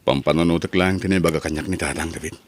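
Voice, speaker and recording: A man's voice: rumbling low, steady tone, fast, breathy edge. A man with an Ilocano accent playing a troubled, defensive young son drifting into crime in an audio drama. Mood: brooding. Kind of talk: melodramatic Ilocano radio-style drama acting.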